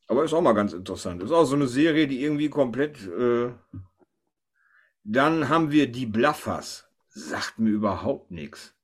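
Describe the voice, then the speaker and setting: A man's voice: mid-range voice, animated, normal speed, breathy edge. A man hosting a casual panel chat, thinking aloud and often tossing questions to the others. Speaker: podcast host.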